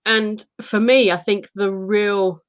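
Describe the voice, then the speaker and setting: A woman's voice: mid-range voice, steady tone, slow pace, no echo. A woman in her thirties, co-hosting a casual chat and telling candid, self-deprecating stories. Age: 30s. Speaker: podcast co-host.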